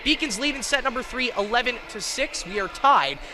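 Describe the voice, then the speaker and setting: A man calling live college volleyball play-by-play in an excitable, self-deprecating way. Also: very high-pitched, a little fast. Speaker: play-by-play sports commentator. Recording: clear gym noise, bouncing balls. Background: indoor volleyball match ambience.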